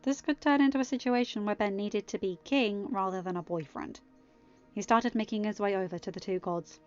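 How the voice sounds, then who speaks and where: A woman's voice: mid-range voice, fairly quick. A woman reading a story aloud as narrator and host, sometimes chatting casually to her listeners. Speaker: podfic reader and host. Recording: slightly muffled, dry, music underneath. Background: quiet music.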